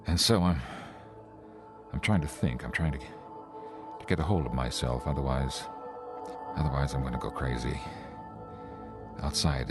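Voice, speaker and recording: An actor playing a frightened, unraveling male traveler in a radio play, with a dramatic, halting delivery. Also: very deep voice, gravelly, full character voice. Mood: despairing, haunted. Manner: dramatic, halting and stammering when distressed. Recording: music bed behind voice.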